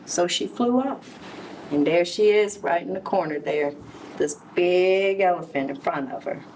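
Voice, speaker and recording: A woman reading a picture book aloud to young children, expressively, pausing to explain and ask questions. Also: fairly low pitch, a little mellow. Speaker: children's story reader, early education center director. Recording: distant mic with background noise.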